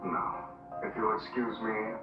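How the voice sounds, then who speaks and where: Through echoey, distant game audio with loud music comes a coolly commanding crime boss. He speaks in a deliberate, relaxed way with quiet menace, as a villain in a video game would. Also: fairly low pitch, highs cut off.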